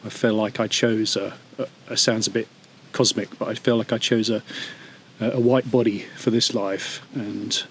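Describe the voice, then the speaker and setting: A man's voice: lowish voice, fairly even intonation, normal speed, slight background noise. A man in his fifties recalling his childhood, thoughtful and hesitant, with frequent fillers and restarts. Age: 50s. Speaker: interviewee sharing memories. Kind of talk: reflective personal testimony.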